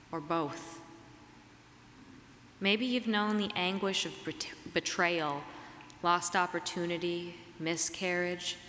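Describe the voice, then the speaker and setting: Slightly raspy woman preaching in echoing hall. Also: low-pitched, fairly bright tone. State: getting over a cold and flu. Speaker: preacher giving a sermon. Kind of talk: sermon, reflective and measured. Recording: reverberant hall, clear background noise.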